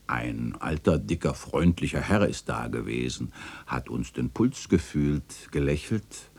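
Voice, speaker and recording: Literary radio drama narrator: melancholy old man recalling memories, very low, mellow, lively intonation. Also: full character voice. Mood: tender, playful. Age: older. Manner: wistful, expressive storytelling.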